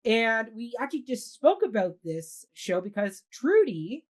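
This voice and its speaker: A woman's voice: fairly high pitch, big pitch swings. Upbeat woman hosting a chat show. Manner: upbeat, quick affirmations, steers the talk. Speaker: host. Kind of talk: casual podcast hosting.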